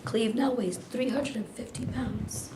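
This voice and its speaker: A woman with a soft voice reading stage directions as scripted narration. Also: fairly high pitch, measured pace, background noise.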